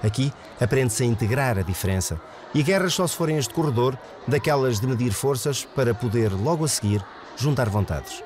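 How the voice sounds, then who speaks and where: Fast-paced European Portuguese news narrator. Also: male voice, medium pitch, animated, noisy background. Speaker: news reporter.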